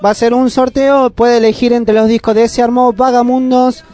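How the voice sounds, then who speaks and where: A man's voice: very high-pitched, flat delivery, normal speed, dark tone. An Argentine man co-hosting a community radio show in a casual presenting style. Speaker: radio co-host.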